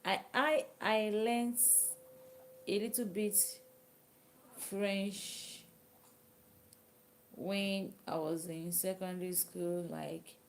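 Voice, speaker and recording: A Nigerian woman whose native language is Yoruba, chatting casually with viewers on a live stream, sometimes dropping to a soft whisper. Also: medium pitch, fairly even intonation, drawn-out words, long pauses, slight background noise. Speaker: livestream host. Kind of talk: casual live chat reading comments.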